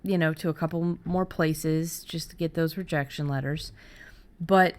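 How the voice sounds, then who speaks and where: A middle-aged woman host talking casually and candidly about her own experience, haltingly, as if thinking aloud. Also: sits low, steady tone, darkish tone. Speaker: podcast host and fiction writer. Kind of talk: casual conversational storytelling.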